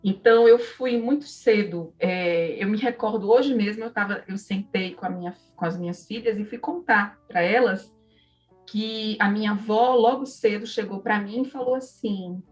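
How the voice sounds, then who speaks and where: A woman speaks with a Northeastern Brazilian accent, a little away from the mic with music underneath. She is an interview guest, sharing personal stories in a reflective, conversational way at a middle pitch. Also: normal speed, recorded from a distance, muffled recording. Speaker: interview guest, psychology professor.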